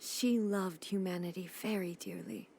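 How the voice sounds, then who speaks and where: A woman playing a solemn, dutiful goddess ruler in a video game, speaking formally and earnestly in measured phrases. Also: medium pitch, darkish tone, a little hiss or hum, close to an everyday voice. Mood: tender, wistful. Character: solemn, dutiful female deity ruling a nation. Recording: game music behind the voice. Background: game music.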